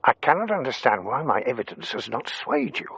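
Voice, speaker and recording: An earnest alien dad, muffled recording. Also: male voice, medium pitch, very animated, a little fast, vocal fry, a bit bright, breathy edge, dry recording, full character voice. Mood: earnest pleading. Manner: grandiose, formal, earnest. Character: earnest eccentric father, secret alien envoy pleading for mankind. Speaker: actor in radio comedy drama. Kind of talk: radio drama monologue, recorded report. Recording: muffled band-limited recording under music.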